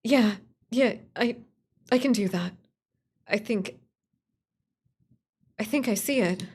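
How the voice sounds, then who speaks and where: A terrified woman camper , acted by a woman, calls into a spooky radio play for help. She stammers and halts in panic, her voice creaky at the edges. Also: a little high, slow, a little mellow, exaggerated voice. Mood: shaken, recounting. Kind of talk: radio play horror call-in.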